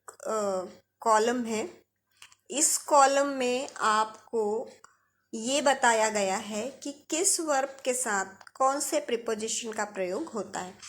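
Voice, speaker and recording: A woman with an Indian accent giving an instructional grammar lesson, explaining step by step and repeating key points. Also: a little high. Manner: didactic, explains step by step with repetition. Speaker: English grammar teacher.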